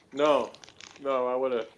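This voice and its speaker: A man in a casual, unscripted review chat, talking in a halting, jokey way as a co-host. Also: mid-range voice. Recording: muffled lo-fi audio, noticeable noise.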